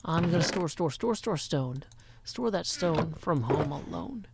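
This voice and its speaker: A man's voice: on the high side, lively intonation, measured pace, gravelly, very noisy. A middle-aged man from Nashville, chatting playfully on a live stream and sounding a little tired. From Nashville, Tennessee. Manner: playful, rhyming asides and long lists of greetings. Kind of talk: casual live-stream chat. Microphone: microphone on a stand.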